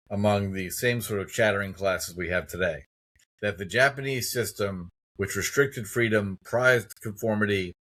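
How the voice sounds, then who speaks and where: A man's voice: lowish voice, fairly bright tone. A man in his fifties from the United States hosting an interview podcast, telling stories in an emphatic, opinionated way. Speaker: podcast host. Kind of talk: conversational interview.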